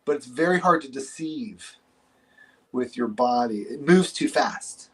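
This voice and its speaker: A man's voice: medium pitch, expressive, a little slow, fairly bright tone, slightly rough. A man in his forties, a counselor, explaining ideas in a casual conversation with a reflective, hesitant manner. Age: 40s.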